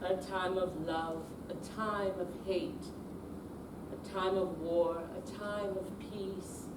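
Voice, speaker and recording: Older woman, measured lyric recitation, distant mic, noisy echoey room. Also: on the low side, fairly even intonation. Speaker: amateur readers theater performer. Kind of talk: slow recitation of lyrics, half sung. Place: indoor senior center room, live performance.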